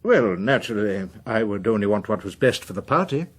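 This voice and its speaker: An actor playing a cautious, ambitious party politician in a radio drama, guarded and earnest. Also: male voice, fairly low pitch, very animated, fairly quick. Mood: guarded, insinuating.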